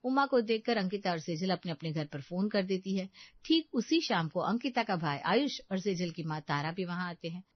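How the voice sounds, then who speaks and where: A woman's voice: fairly low pitch, animated, normal speed, no echo. Woman narrating a story, dry muffled sound.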